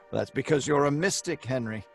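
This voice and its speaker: A man's voice: medium pitch, animated, normal speed, lightly acted. Man playing obsessed young scientist, radio drama, over music. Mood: earnest, affectionate. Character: earnest, obsessed young male scientist recounting a grim tale. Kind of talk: old-time radio drama acting with narration. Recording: music under the voice.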